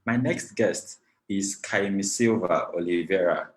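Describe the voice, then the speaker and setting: Deep-voiced man moderating. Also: measured pace. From Nigeria. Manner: gracious, thanks people at length. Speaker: webinar moderator. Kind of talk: formal online panel hosting.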